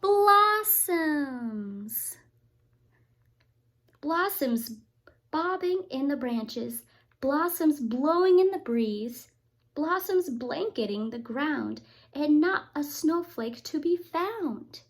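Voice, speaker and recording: A woman teacher reading a picture book aloud to young children, expressive and often turning to talk to them directly. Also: sits high, very slow. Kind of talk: read-aloud storytime with asides to children.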